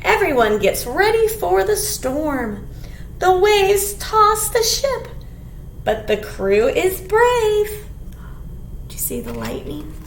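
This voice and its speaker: A middle-aged woman reading aloud as a children's storytime librarian, with an expressive, sing-song delivery and simple questions to the children. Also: very high-pitched, takes it slowly. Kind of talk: animated read-aloud to young children. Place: small indoor room. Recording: roomy echo, faint background noise.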